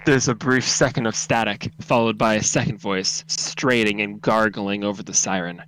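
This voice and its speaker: A man's voice: fairly low pitch, steady tone, moderate pace, a bit bright, low-quality recording. A man narrating a second-person horror story in a dramatic, solemn, intense reading.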